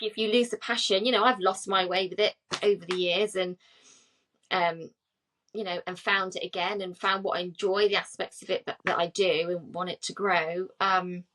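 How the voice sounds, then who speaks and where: A woman in her forties from England chats easily on a podcast. She fills her talk with ums and yeahs and laughs often, at a middling pitch. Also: fairly bright tone, poor audio. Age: 40s. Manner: chatty, laughs often, many fillers. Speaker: podcast co-host. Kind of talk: casual chat.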